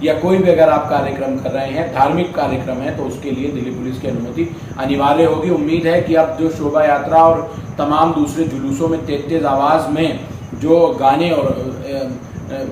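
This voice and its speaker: Man, slightly high voice, emphatic news reporting, repetitive phrasing, very echoey, clear background noise. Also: steady tone, normal speed. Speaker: news presenter. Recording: echoey, distant mic, noisy background.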